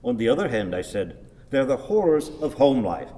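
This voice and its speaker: Radio drama: grumpy old husband , male actor, ponderous and declamatory, very animated pitch, long reverb. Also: fairly quick, lightly acted. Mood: pompous grumbling. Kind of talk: theatrical radio play acting.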